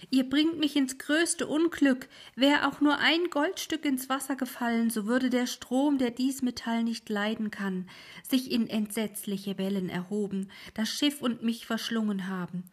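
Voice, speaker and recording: A woman voicing an old male ferryman in a sleep story, loud and raised above her soft reading. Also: medium pitch, expressive, measured pace, breathy edge. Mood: alarmed. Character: old male ferryman, patient but alarmed.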